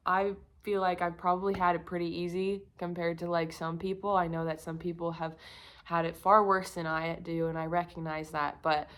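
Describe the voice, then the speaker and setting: A young woman with a croaky, slightly sick voice, talking candidly and rambling to camera in a casual vlog. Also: lowish voice. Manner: rambling, filler-heavy, candid. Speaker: vlogger. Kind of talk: casual confessional talk to camera.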